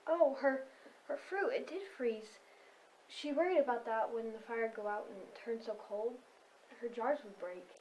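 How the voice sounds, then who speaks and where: A timid, dutiful wife acted by a woman in a stage play, over faint background noise. Her voice is somewhat high and she speaks hesitantly and deferentially. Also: normal speed, a little mellow, natural voice, rough recording. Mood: hesitant, mild. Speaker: stage actor. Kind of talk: amateur stage play dialogue.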